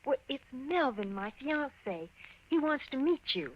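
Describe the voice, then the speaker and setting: High-pitched, prim fiancée. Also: female voice, very animated, measured pace, exaggerated voice. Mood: hesitant. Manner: prim, scolding. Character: prim, easily offended fiancée, disapproving and proper. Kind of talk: 1950s radio comedy-horror acting. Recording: dull, hissy old radio broadcast.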